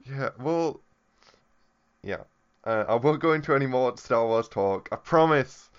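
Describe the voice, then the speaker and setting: A man's voice: medium pitch, lively intonation, unhurried, clean tone, muffled recording. A man co-hosting a comedy podcast, rambling in casual banter, laughing often and trailing off into fillers. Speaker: podcast co-host.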